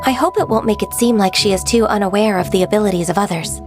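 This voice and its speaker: An actress playing a gentle, timid young woman who speaks softly and politely, over background music. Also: medium pitch, fast, natural voice. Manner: soft, polite, hesitant. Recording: game audio with music behind.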